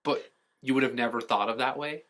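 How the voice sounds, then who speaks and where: A man with a bright, punchy voice at a middle pitch talks as a podcast co-host. His delivery is casual and enthusiastic, peppered with profanity, like a fan explaining what he loves. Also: steady tone, brisk.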